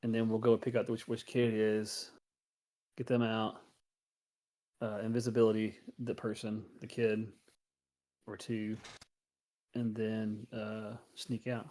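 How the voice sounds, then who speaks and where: A man playing a tabletop game, hesitantly talking through a plan with restarts and pauses. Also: lowish voice, completely flat delivery, poor audio. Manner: hesitant, thinking out loud with many restarts. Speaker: player. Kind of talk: planning aloud in a tabletop game.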